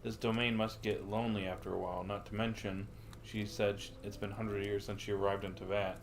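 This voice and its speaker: A man's voice: on the low side, little pitch movement, brisk, slight background noise. A man livestreaming a game, reading lines aloud and commenting as he muses to himself. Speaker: streamer. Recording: game music behind voice. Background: game music.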